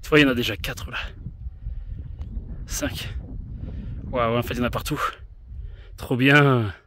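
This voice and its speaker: A man's voice: medium pitch, steady tone, measured pace, bright, forceful tone, a little hiss or hum. A French man narrating his outdoor trip on camera, thinking aloud and exclaiming at birds of prey along a mountain trail. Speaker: vlogger. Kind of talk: casual travel vlog commentary. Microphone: action camera or smartphone.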